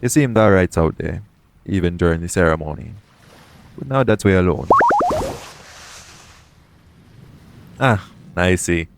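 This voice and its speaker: Man acting a low-voiced roguish pirate captain. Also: expressive, moderate pace, gravelly, a little hiss or hum. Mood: teasing, concerned. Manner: colloquial seafarer's dialect, speaking tenderly to one listener. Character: roguish pirate captain, protective and gently reassuring. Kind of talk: intimate audio roleplay acting.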